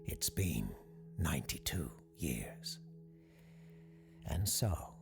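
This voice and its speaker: Deep, gravelly paranormal radio host. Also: male voice, full character voice. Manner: measured, formal, polite. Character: calm, courteous parapsychologist radio call-in host. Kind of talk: scripted radio show hosting, audio drama. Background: music under the voice.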